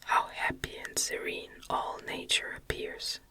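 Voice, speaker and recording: A narrator voicing a gentle young wife in a tender, soothing, breathy whisper for an audiobook. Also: measured pace. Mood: tender, hopeful. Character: Elizabeth, gentle young wife soothing her anxious husband.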